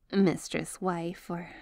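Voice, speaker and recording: A woman acts out a young crime-family heiress who is shyly smitten and apologetic. She speaks at an unhurried pace, conversational and hesitant, with soft teasing. The sound is dry and intimate, in the manner of an ASMR roleplay. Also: fairly low pitch, gravelly, no echo, full character voice. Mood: teasing, flustered.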